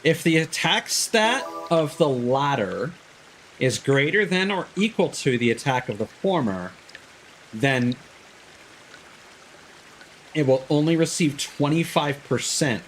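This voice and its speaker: A man's voice: on the high side, lively intonation, takes it slowly, pauses often, slight background noise, low-quality recording. A man giving casual live gameplay commentary as a streamer, thinking aloud in an analytical way. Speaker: game streamer. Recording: loud game music behind voice. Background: video game music.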